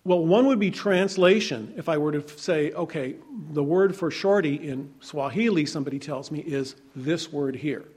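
A man preaching in a fairly high voice, teaching from scripture in a relaxed, conversational way. He reads verses aloud and swings between strong emphasis and soft, low asides. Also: normal speed, few pauses, breathy edge. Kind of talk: sermon, Bible teaching.

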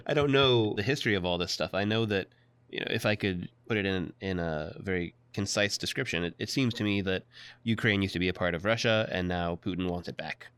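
A man's voice: sits low, fairly quick, a bit bright, clean tone, dry recording. A young man interviewing casually, giving short listening cues and asking informal questions. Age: young adult.

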